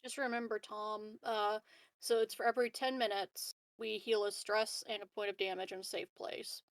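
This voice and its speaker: A woman's voice: mid-range voice, level tone, normal speed, dry recording. A woman playing a tabletop game, chatting casually with the group about rules and choices.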